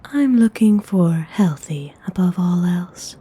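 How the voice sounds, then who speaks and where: A woman acts out a noble lady vampire for an ASMR roleplay, haughty but benevolent. Her voice is very mellow and soft, heavy with breath and half whispered. She speaks slowly and formally, in a slightly low register, with cool refinement. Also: expressive, husky, full character voice. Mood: appraising. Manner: unhurried, formal, coolly refined. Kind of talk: ASMR audio roleplay acting.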